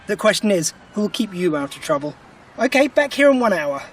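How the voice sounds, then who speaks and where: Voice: medium pitch, very animated, brisk, recorded from a distance. An actor playing a quirky, wry time-travelling alien adventurer in an audio drama, chatty and given to grumbling.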